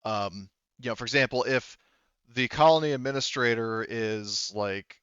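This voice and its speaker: A man's voice: medium pitch, moderate pace, fairly bright tone, clear, resonant tone, dry recording, muffled recording. A man speaking as game master, narrating a tabletop roleplaying game in a conversational way.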